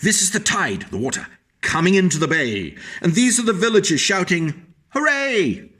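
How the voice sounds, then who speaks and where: A man reading a picture book aloud to young children, animated and stopping to explain the pictures to his small listeners. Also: sits high, normal speed, background noise.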